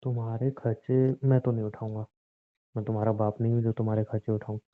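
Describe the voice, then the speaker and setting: A man's voice: lowish voice, flat delivery, fast talker, natural voice. A man acting as a bitter, controlling young husband in a conversational audio drama, sarcastic and confrontational. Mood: firm, confrontational. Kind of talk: acted Hindi relationship audio drama, conversational. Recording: thin, muffled phone-like lo-fi audio.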